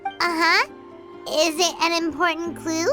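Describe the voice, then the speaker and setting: A woman voicing a tiny, eager, childlike fairy companion in a video game, squeaky and exclamatory. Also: level tone, measured pace, over music. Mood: curious. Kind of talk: video game character acting.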